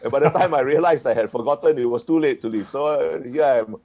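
Man, 60s, retired diplomat, interview guest, frank, wry, chuckling, mid pitch. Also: quick pace, a little mellow. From Singapore. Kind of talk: relaxed interview answers.

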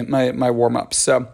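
A man hosting his own dance podcast, chatting casually with lots of ums and restarts, his voice scratchy. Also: medium pitch. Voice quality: scratchy, gravelly voice. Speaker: podcast host and dance choreographer. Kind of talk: casual solo podcast chat.

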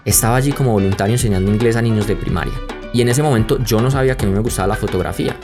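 Colombian guy podcasting, lowish voice, reflective, music under him. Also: rapid-fire. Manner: reflective, conversational, speaks straight to listeners. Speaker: podcast host. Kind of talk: personal storytelling podcast.

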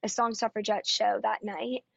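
A raspy-voiced woman talks in an easy, upbeat way, dotted with ums and likes. The sound is dull and dry, with no room around it. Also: mid-range voice, little pitch movement, normal speed, no room sound. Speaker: guest singer-songwriter. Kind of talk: casual interview chat. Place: Nashville area.

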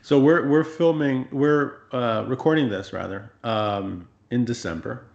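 A man interviewing a guest in a casual conversation, reflective and relaxed, with frequent fillers. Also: medium pitch, a little slow, creaky, echoey room, muffled recording.

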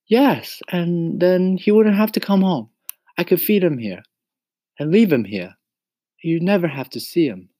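Man putting on an eager, high-pitched little boy. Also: lively intonation, a little slow, mellow, dry recording. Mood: hopeful. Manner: pleading, earnest. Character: eager earnest young boy who loves his pet. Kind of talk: acted storybook reading.

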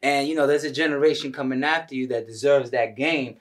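A man's voice: medium pitch, steady tone, fairly quick, recorded from a distance. An American man with a mid-range voice hosts a casual interview podcast. He talks in a familiar, slangy style and brings a showman's hype to his delivery. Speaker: podcast host.

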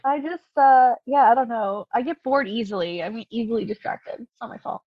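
Blunt woman with a higher-pitched voice. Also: a little fast, dry recording, muffled recording. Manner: blunt, casual swearing. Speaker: podcast co-host. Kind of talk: casual chat.

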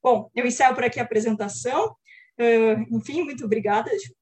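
A woman's voice: fairly high pitch, brisk, a little mellow. A young woman informally explaining research in an online panel, often checking in with little tag questions. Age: young adult. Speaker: academic researcher, guest presenter.

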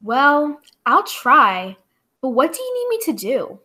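A woman's voice: sits high, wildly swooping pitch, slow pace, pushed and bright, heavily acted. A woman playing an inquisitive, flustered female doctor in a 1940s radio drama, exclamatory and full of questions. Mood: surprised, puzzled. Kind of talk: 1940s old-time radio acting. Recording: clearly noisy recording with clicks.

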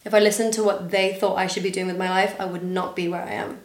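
A woman's voice: mid-range voice, level tone, quick pace. A young woman from Dubai with an international school accent that is partly American, chatting casually as a podcast guest with many fillers. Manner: chatty, giggly, trailing fillers. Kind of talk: casual chat. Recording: echoey room.

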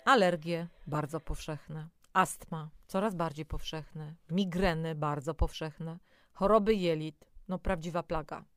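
Female co-presenter for health audio: clean, resonant, slightly low voice, scripted yet chatty explanations. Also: steady tone, unhurried, fairly bright tone, no room sound, studio quality. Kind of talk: scripted educational narration with conversational asides.

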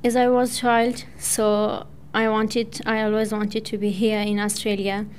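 A young woman from Pakistan, Persian her first language, talks slowly in careful, hesitant English. Her voice stays level and even, with little rise or fall. A faint hiss of noise sits behind her. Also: mid-range voice. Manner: hesitant, halting non-native English with fillers. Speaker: English student speaking on community radio. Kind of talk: prepared personal talk.

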